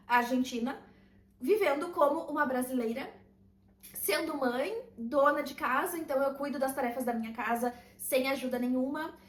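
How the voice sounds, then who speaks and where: A woman, calmly talking to camera in a casual vlog and laughing lightly now and then. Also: sits high, roomy sound. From southern Brazil. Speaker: vlogger and routine mentor.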